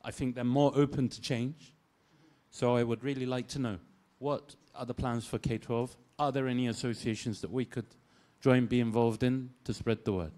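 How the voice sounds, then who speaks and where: A man's voice: medium pitch, steady tone, normal speed, warm tone. A man in a conference audience asking the panel an earnest, winding question. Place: conference hall.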